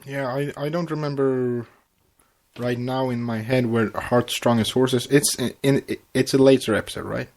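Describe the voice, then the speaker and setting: A man's voice: medium pitch, steady tone, normal speed, darkish tone. A man with a Swedish accent co-hosting a casual fan podcast, hesitant and often repeating words as he talks. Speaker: podcast co-host.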